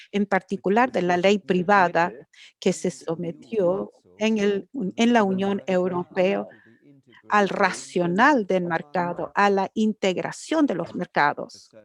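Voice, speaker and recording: A woman interpreting an academic lecture live, halting and correcting herself as she goes. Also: medium pitch. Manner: halting, with frequent restarts and self-corrections. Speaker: conference interpreter.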